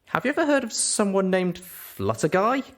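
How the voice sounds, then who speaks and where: Young pony heroine for storybook reading, inquisitive and exclamatory, raised pitch, played by a man. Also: huge pitch swings, moderate pace. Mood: curious. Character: young female pony adventurer, curious and exclamatory.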